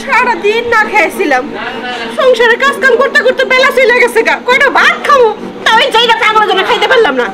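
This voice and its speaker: An actress playing a scolding, complaining village aunt in a comic short drama. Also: female voice, extremely high pitch, expressive, fast talker. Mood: aggrieved complaining. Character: scolding village aunt, quick-tempered and hard-worked. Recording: loud music and crowd behind, outdoors.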